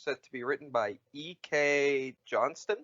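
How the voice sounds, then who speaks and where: Bright-voiced man, halting podcast chat. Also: medium pitch, no room sound, muffled recording. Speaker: podcast co-host. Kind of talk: casual chat.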